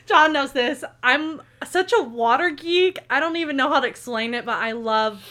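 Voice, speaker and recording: Bright, chatty young woman podcaster. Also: high voice, a little slow. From Tulsa, Oklahoma. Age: 20s. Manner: chatty, upbeat, lots of fillers. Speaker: podcast co-host. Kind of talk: casual chat.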